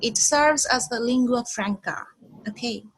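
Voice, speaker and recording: Slow, high-voiced woman teacher in her twenties. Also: a little hiss or hum, low-quality recording. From Bangladesh. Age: 20s. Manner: slow and deliberate, repeats herself, frequent "okay". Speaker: English teacher. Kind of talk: online English lesson.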